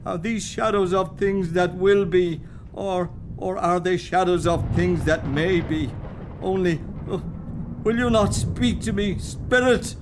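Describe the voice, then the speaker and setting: A man acting a frightened, repentant old miser in a radio play, halting and pleading. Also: sits high, background noise. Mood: fearful.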